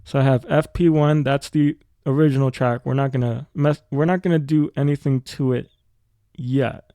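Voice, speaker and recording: A man's voice: medium pitch, fairly even intonation, normal speed, mellow, no room sound, studio quality. A man giving a casual tutorial as a music production teacher.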